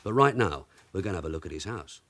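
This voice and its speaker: An actor playing a shrewd, middle-aged police sergeant in a radio drama, dry, sarcastic and bossy. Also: male voice, sits low, animated, fast, fairly bright tone. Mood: brisk, instructing. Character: shrewd, sardonic veteran London detective sergeant. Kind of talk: naturalistic radio drama acting.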